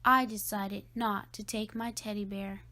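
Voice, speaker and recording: A woman narrating a children's storybook aloud in a deliberate, expressive read-aloud style. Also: mid-range voice. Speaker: storybook reader.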